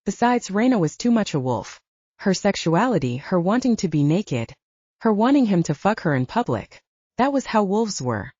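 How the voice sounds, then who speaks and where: A woman's voice: medium pitch, big pitch swings, moderate pace, darkish tone, no room sound, dull audio. A woman reading a fiction audiobook as a scripted narration.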